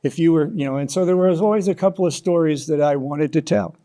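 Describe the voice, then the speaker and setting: An older man with an American accent speaks as a guest in an interview. His voice is soft-edged and mellow, sitting a little higher than most men's. He tells his stories thoughtfully, pausing and picking his way through the sentences. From United States, Kansas. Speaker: interview guest, author and former sports journalist. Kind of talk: conversational interview answers, reflective storytelling.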